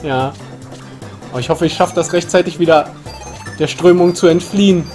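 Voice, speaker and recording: A man's voice: a little high, normal speed. A young man streaming a video game, joking and punning casually through a headset mic. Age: young adult. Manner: playful punning, laughs often, sudden shouts at the game. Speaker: let's play streamer. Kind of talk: casual gaming livestream chat. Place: small room indoors. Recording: game music behind.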